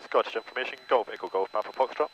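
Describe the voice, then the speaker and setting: A man's voice: on the low side, rapid-fire. A man piloting a light aircraft, making clipped, formulaic radio calls and reading back instructions over an aviation headset. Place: small propeller aircraft cockpit. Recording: dull, noisy cockpit intercom. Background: engine drone.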